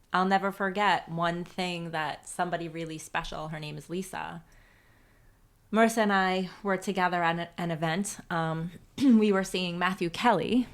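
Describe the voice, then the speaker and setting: A woman in her forties hosting a podcast, speaking in a soft, reflective way with many pauses. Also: fairly low pitch, gravelly. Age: 40s. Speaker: podcast host. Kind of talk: casual faith-based chat.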